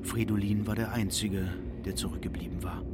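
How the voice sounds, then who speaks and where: A man's voice: sits low, steady tone, a little fast. A man narrating a literary radio play in a scripted style, with music behind his voice.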